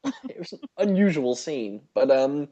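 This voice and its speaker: A man's voice: fairly high pitch, expressive, normal speed, dark tone, lo-fi sound, slight background noise. A man co-hosting a podcast, talking casually in hesitant, stop-start phrases. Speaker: podcast co-host. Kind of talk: casual discussion of a TV show.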